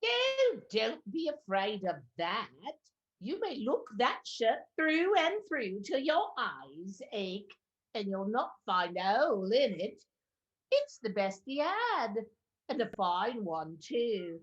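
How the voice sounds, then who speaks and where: Brazen scavenging charwoman, slow drawn-out delivery, animated pitch, radio play. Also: lo-fi sound. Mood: brazen gloating. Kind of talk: stage-style radio play acting.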